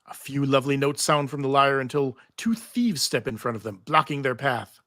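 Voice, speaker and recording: A man's voice: mid-range voice, normal speed, pushed and bright, dry recording, studio quality. A man reading TV script stage directions aloud at a live table read, theatrical with wry asides. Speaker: table read narrator and panel host. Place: live convention panel.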